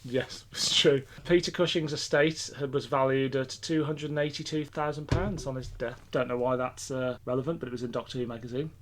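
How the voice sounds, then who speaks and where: Male podcast host, mid-pitched voice, conversational and a bit rambling, fan-to-fan delivery with a jokey edge. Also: fairly even intonation, fairly quick, few pauses, fairly bright tone. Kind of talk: casual chat about a TV show and its fandom.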